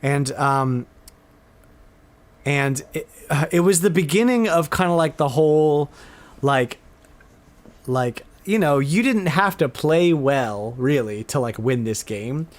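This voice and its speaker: A man's voice: on the high side, lively intonation, takes it slowly. A man chatting casually and enthusiastically as the host of a retro gaming podcast.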